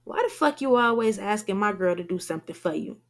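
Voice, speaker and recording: A woman's voice: medium pitch, lively intonation, normal speed, gravelly. A woman voicing a jealous, hot-tempered young man who curses bluntly in street slang. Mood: angry.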